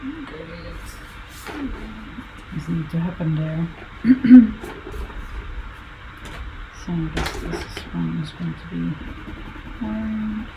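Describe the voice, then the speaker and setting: A woman with a Dutch accent, a native Limburgish speaker, chatting casually while she draws, thinking aloud in halting phrases and correcting herself. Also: lowish voice, big pitch swings. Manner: halting, musing aloud, with self-corrections and soft laughs. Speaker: artist. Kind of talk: casual talk while drawing. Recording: audible background noise.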